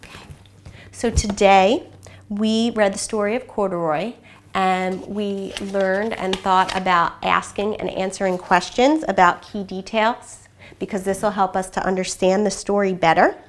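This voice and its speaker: A woman teacher giving an expressive, instructive storybook read-aloud for children, pausing to pose questions. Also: mid-range voice, a little hiss or hum.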